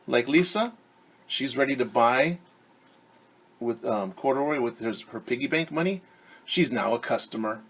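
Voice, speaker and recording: Husky-voiced teacher guy doing story time, asks the kids questions as he goes. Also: mid-range voice, animated, normal speed, pauses often, fairly bright tone. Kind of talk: reading a picture book to children.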